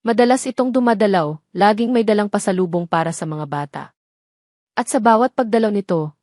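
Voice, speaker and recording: A woman's voice: mid-range voice, a little mellow, no echo, clean studio sound. A woman reading a scripted romantic drama as a story narrator.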